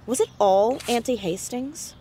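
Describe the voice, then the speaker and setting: Teen girl sleuth, highish and very lively, earnest and nosy, audio drama with background ambience. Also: unhurried, a little hiss or hum. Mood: inquisitive. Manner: inquisitive, earnest. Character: curious, upbeat fourteen-year-old girl amateur sleuth. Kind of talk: naturalistic audio drama dialogue.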